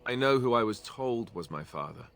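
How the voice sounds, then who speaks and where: An actor playing a wry, self-deprecating young male warrior in a video game, sarcastic and quick to deflect with jokes. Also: mid-range voice, expressive, fairly bright tone, faint music behind. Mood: reflective. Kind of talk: video game character dialogue.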